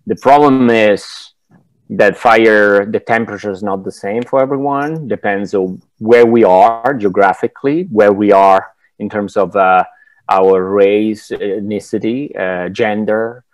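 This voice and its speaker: A man in his forties from Italy with an Italian accent, a film director giving reflective interview answers on a video call through wired earphones, thoughtful and halting as he searches for words. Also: on the low side, no echo, poor audio. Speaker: film director, masterclass guest. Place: home room, indoors. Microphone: wired earphones with mic on a video call.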